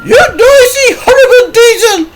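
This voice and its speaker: A man voicing an angry, indignant mother in a shrill voice for a children's storybook, with music and crowd noise behind. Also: expressive, moderate pace. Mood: furious.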